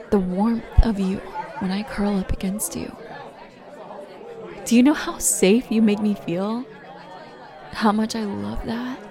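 A woman playing a slow-spoken, protective girlfriend. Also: mid-range voice, close to an everyday voice. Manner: speaks straight to the listener as "baby". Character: fiercely protective, loving young girlfriend. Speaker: roleplay audio performer. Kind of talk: girlfriend roleplay audio.

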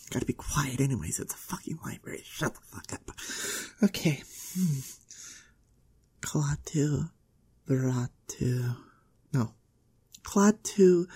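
A woman's voice: low-pitched, mellow, husky, heavily acted. A young woman playing a vain, catty, ditzy blonde popular girl in an audio roleplay, with a drawling valley-girl manner full of filler words. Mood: hushed, halting. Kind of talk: first-person audio roleplay monologue.

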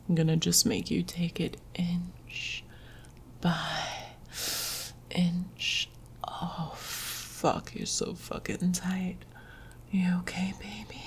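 A woman voicing a tender but dominant male lover in an intimate audio roleplay, speaking in a breathy, hushed whisper that is coaxing and possessive. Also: on the low side, a little hiss or hum, heavily acted.

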